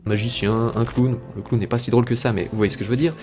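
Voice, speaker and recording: A man's voice: medium pitch, fairly even intonation, fast talker, a little mellow. A man reading scripted narration for a video essay presenting cartoon characters.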